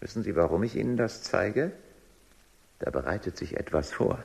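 A smug, menacing official in a dystopian radio play. His very low voice is husky and swings theatrically through sardonic, drawn-out phrases. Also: animated, darkish tone, full character voice. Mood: stern, ominous. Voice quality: gravelly, husky. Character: smug, menacing male boss of a dystopian thought-control organisation. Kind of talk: German radio drama acting.

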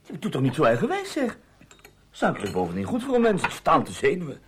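A man's voice: a little high, wildly swooping pitch, brisk, fairly bright tone, husky, heavily acted. A man acting a sardonic, bickering husband in a Dutch radio play, sarcastic and exasperated.

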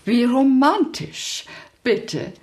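An actress playing a refined, effusive society lady with a morbid curiosity in a radio play, her delivery affected and drawn-out. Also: sits high, animated, darkish tone, exaggerated voice. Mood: curious, entranced. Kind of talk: German radio play acting.

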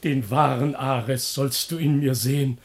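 An actor playing a pompous citizen in a comic Greek chorus, declaiming solemnly in a classic radio play with some noise on the recording. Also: male voice, mid-range voice, steady tone, measured pace, close to an everyday voice. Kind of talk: classic German radio play of an ancient comedy.

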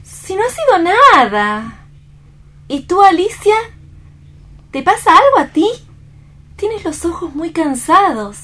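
Slow, high-voiced, fragile young woman. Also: very animated, fairly bright tone, heavily acted. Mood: troubled. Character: fragile, weary young woman recovering from near-drowning, anxious and secretive. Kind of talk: radio theatre dramatic reading.

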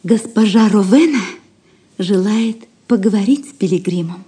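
A secretive servant woman, played by an actress, speaks deferentially in a very breathy half-whisper. The tone is dark and soft, in audio drama style. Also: mid-range voice, normal speed, lightly acted. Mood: hushed, discreet. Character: discreet lady's maid, secretive and deferential. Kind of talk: theatrical audio drama acting.

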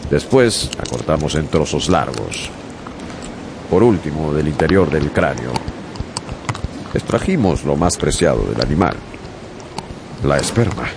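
Very deep gravelly male narrator. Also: background noise. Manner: measured storytelling delivery. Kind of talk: scripted radio narration. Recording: music bed under the voice.

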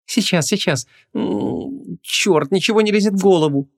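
A man voicing a lively, curious young woman in an audiobook, talking in a colloquial, impatient way. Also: high voice, normal speed, vocal fry, voice pushed up. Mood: flustered.

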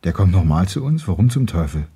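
Radio play: big gruff husband, raspy, swinging intonation, suspicious and scornful. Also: male voice, sits low, sing-song, a little fast, warm tone, full character voice. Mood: irritated, scornful. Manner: blunt, dismissive, sarcastic. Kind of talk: German radio drama acting.

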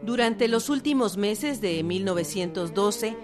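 A woman narrating a historical radio drama from a script in a formal, measured way. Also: medium pitch, lively intonation. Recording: narration over clear string music.

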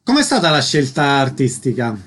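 A man's voice: medium pitch, sing-song, normal speed, a bit bright, slight background noise. A man hosting a radio interview, introducing his guest and putting short questions.

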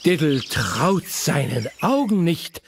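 A man narrating a children's audio story in an expressive, playful way. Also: a little high, slow pace, slight background noise.

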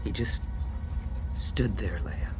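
A young man playing an earnest, emotional young hero in a radio drama, often speaking in a hushed way. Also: fairly low pitch, slow, over music. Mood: grieving. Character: young farm-boy hero, earnest, grieving.

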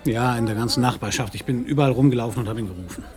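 A man's voice: medium pitch, very fast, darkish tone, a faint crowd, close to an everyday voice. An actor as a brooding, bitter man in grief. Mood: agitated, worried. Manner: brooding, weary, sardonic. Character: bitter, grieving Danish man whose dog was stolen. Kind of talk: German radio play acting.

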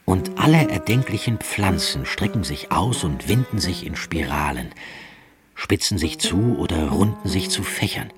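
An actor playing a grave narrator of a visionary radio play, speaking in an intense, incantatory way. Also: male voice, low voice, lively intonation, moderate pace, over music. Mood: hushed, awed. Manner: intense, incantatory, swinging from hushed to forceful. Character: grave male narrator of a visionary, nightmarish tale.

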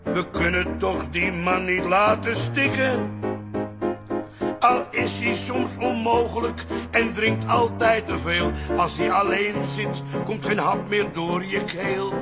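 Actress as wry woman singing slow xmas cabaret number for radio play, loud music behind. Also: medium pitch, natural voice. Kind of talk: slow sentimental-comic Christmas cabaret song in radio drama.

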